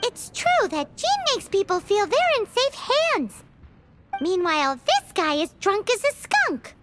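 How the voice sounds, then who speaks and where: Squeaky excitable little fairy companion. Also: female voice, unhurried, heavy background noise, exaggerated voice. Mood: chirpy. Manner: refers to self in third person, exclamatory. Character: small excitable floating fairy companion, childlike, nosy, cheeky. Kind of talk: animated video game voice acting.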